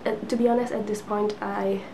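A woman's voice: mid-range voice, flat delivery, brisk, vocal fry, warm tone, slight background noise. A woman in her twenties whose first language is Polish, chatting to the camera from her couch with lots of fillers and little laughs. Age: 20s. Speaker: book vlogger. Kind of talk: casual chat to camera. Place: living room couch with lamp, indoors. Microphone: camera in front at sitting distance.

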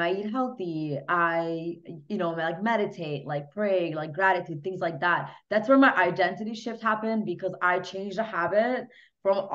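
A young woman from Hungary, a podcast guest, chatting casually in a small home room with lots of "you know" and "like". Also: lowish voice. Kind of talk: casual interview chat. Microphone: laptop webcam mic on a video call. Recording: dull lo-fi video call audio.

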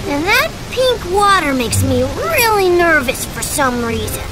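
A woman's voice: very high-pitched, sing-song, a little slow, exaggerated voice. A woman with an American accent voicing an earnest, curious young boy fox in a video game cutscene. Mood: curious, uneasy. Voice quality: youthful, childlike. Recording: music behind. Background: loud music.

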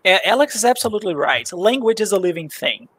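A man's voice: high voice, lively intonation, quick pace, fairly bright tone, no echo. A man in his twenties from Brazil, a native Portuguese speaker and English teacher, talking earnestly on a video call through a headset. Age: 20s. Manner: talkative, earnest, explains with sayings and examples. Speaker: English teacher, interview guest. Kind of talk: casual video call chat. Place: small room indoors.

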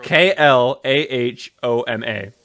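A young man reading comedy scripts aloud casually and deadpan, sometimes close to giggling. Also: medium pitch, slow pace. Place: small dim room, indoors. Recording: home microphone with background noise, clicks.

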